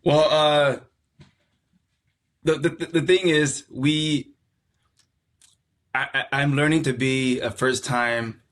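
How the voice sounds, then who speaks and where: A middle-aged man from California, a podcast guest talking in a relaxed, reflective way and often repeating words as he searches for them. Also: on the high side, slow, pauses often. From California, USA. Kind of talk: casual conversational interview.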